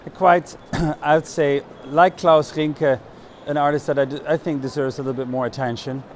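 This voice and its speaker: A man's voice: on the high side, fairly even intonation, moderate pace. A man hosting a casual walking interview, asking questions and guiding the conversation in an informal way. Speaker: interviewer and tour host. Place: art fair hall, on a walking tour. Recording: faint fair-hall background noise.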